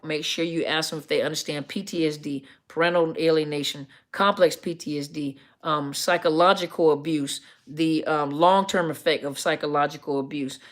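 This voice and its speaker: A middle-aged woman with a deep, scruffy, gravelly voice, a counselor chatting casually to viewers on a live stream and often correcting herself with ums. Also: fairly even intonation, normal speed, few pauses. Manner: rambling, self-correcting, many ums.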